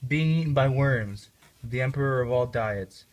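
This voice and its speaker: A man's voice: medium pitch, moderate pace, lightly acted. Brooding young prince for a puppet play, male performer, accusing and half-mad, mid-range voice. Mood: mocking. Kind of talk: amateur puppet-show dramatic reading.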